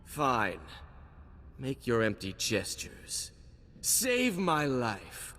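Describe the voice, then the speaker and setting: A man playing a bitter, defiant Sith villain in a video game, taunting with theatrical, drawn-out menace. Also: mid-range voice, huge pitch swings, sharp tone, in a large hall, full character voice. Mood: sullen menace.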